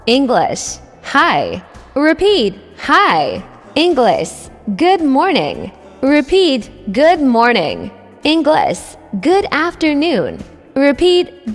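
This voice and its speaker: Woman, English teacher, unhurried, sing-song swooping voice, long reverb, faint music. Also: high-pitched. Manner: deliberate, says each phrase twice. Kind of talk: scripted beginner language drill.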